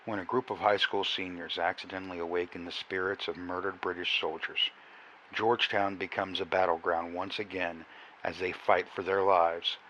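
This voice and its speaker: A man's voice: low voice, flat delivery, normal speed, muffled recording. A man reading scripted promos and credits as a dramatic announcer. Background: music.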